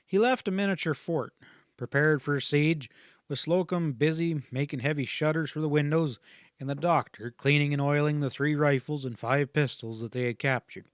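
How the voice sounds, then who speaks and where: A man's voice: a little high, normal speed, dry recording, studio quality. Man reading a western audiobook. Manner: steady, even storytelling read. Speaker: audiobook narrator. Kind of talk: scripted narration.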